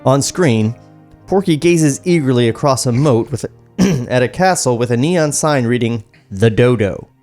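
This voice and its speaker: A man's voice: medium pitch, animated, moderate pace, darkish tone, soft background music. A man hosting a podcast, reading screenplay stage directions aloud into a studio microphone. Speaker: podcast host and script narrator.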